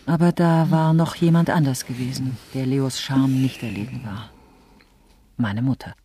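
A wistful, passionate woman in a radio play, low and mellow in tone. She confides her memories in a reflective way. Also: steady tone, moderate pace, breathy edge, full character voice. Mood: wistful, regretful. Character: wistful, passionate woman recalling a past love affair. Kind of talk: radio drama acting.

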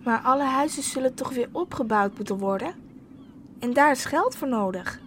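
A woman acting out a practical young girl who pokes at plans with questions. The voice sits a little high, with faint hiss behind it. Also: lively intonation, normal speed. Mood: probing. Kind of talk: radio drama acting.

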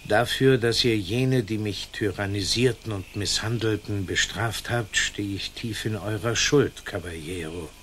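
An actor playing a pious, grateful old friar in a German radio play, solemn and formal. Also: male voice, on the low side, unhurried, few pauses, fairly bright tone, a little hiss or hum.

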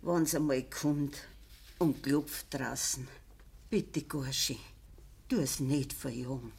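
Ailing old farm woman, radio play, Bavarian dialect, slow delivery, husky breathy voice, gentle, resigned. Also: a bass voice, heavily acted. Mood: pleading. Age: older. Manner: slow, plain rural dialect speech. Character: ailing old farmer's wife, gentle, resigned, pleading mother. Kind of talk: naturalistic radio drama acting.

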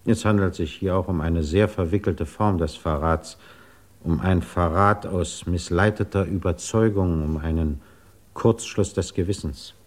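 A very low male voice plays a resolute investigator in a 1950s radio drama. He is formal and courteous, choosing each phrase with deliberate care. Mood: grave, resolute.

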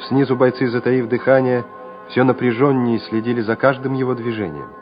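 A man narrating a wartime radio drama in a measured, solemn, scripted way. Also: medium pitch, lightly acted. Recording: dull old radio recording with music.

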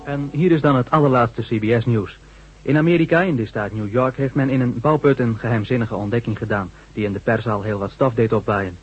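An actor playing a measured, formal radio news announcer reading a script in a radio play. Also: male voice, medium pitch, husky, close to an everyday voice. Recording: dull vintage 1970s radio recording.

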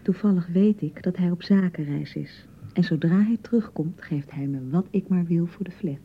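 Husky low-voiced touchy newlywed bride. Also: female voice, moderate pace. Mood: sulky, defensive. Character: newlywed young bride, touchy, hurt and unsure. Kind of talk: radio drama acting in Dutch. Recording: dull, slightly muffled 1990 radio broadcast.

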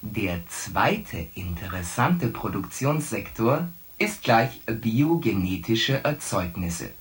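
An actor playing a coolly logical ship's artificial intelligence in a science fiction radio play, with stilted, emotionless phrasing. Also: male voice, lowish voice, very animated, slow. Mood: coolly analytical.

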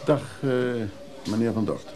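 An actor playing a gruff, guarded and resentful male antique dealer in a radio drama, curt and defensive. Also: on the low side, big pitch swings, normal speed, dark tone, soft background music. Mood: guarded, irritated. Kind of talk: radio drama acting, dialogue.

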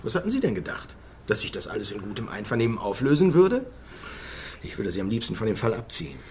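An actor playing an exasperated police chief in a radio drama, sarcastically scolding and demanding results. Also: male voice, on the low side, sing-song, fast talker, darkish tone, a little hiss or hum. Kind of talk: naturalistic radio drama dialogue.

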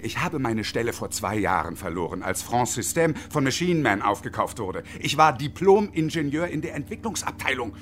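A man's voice: mid-range voice, very animated, moderate pace, few pauses, fairly bright tone, slight background noise. An actor playing a bitter, sardonic unemployed engineer in his early fifties in a radio drama, wordy, pedantic and ironic. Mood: bitter irony. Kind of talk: radio drama acting with inner monologue.

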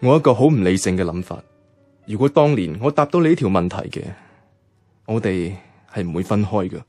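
A withdrawn, heartbroken young man in a radio play. His voice sits on the low side, subdued and weary, with a Hong Kong Cantonese accent, over clearly audible music. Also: lively intonation, a little fast, darkish tone, no room sound. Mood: melancholy reflection. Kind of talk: radio drama acting and inner monologue.

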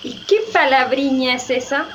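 A woman playing an eager, curious, bossy young lady in a radio play, excitedly urging others on. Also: sits high, normal speed, muffled recording, natural voice. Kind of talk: theatrical radio drama acting.

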